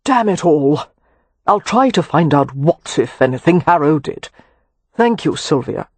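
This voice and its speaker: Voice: mid-range voice, expressive, unhurried, breathy edge, no room sound. Narrator voicing an impatient, sardonic lord. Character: impatient, brusque young gentleman lord. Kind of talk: audiobook character voice.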